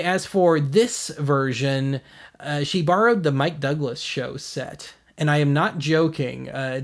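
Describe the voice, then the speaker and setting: A man's voice: fairly high pitch, vocal fry. An American man hosting a video series, giving casual commentary in a wry, blunt, self-deprecating way. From United States.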